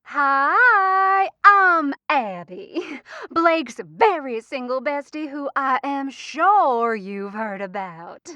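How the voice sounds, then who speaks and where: Brazen, flirty woman with a high voice acted. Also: expressive, pushed and bright, no room sound, dull audio, full character voice. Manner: sassy, drawn-out, theatrical flirting. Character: brazen, flirty single best friend. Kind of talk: audio drama acting.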